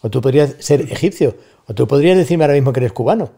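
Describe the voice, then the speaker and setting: A man's voice: mid-range voice, expressive, fast talker, creaky, mellow, slightly rough. A middle-aged man with an Andalusian accent, a guest talking at length in a casual podcast conversation, thoughtful and fond of rhetorical asides. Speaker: guest, university staff member.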